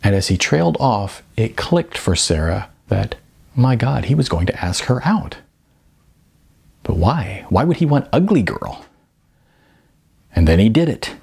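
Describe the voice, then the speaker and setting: A middle-aged man narrates a story expressively. His voice is fairly low, with a gravelly, husky texture, and his pitch moves around in a lively way. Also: unhurried, pauses often. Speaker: audiobook narrator. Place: small bright room indoors.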